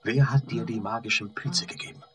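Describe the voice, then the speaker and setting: A man's voice: medium pitch, fairly quick, fairly bright tone, faint music behind, close to an everyday voice, poor audio. A man acting in a radio play as a harried, irritable doctor who snaps orders and asks terse, insistent questions. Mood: tense, insistent. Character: harried, irritable male doctor, urgent and snappish. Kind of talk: German radio drama acting.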